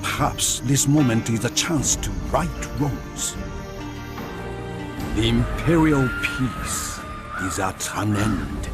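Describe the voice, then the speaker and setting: A solemn, proud narrator in a dramatic video game cutscene about samurai history. His mid-pitched delivery is emphatic and very lively in intonation. Music is clearly heard behind the voice. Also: takes it slowly. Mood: ominous.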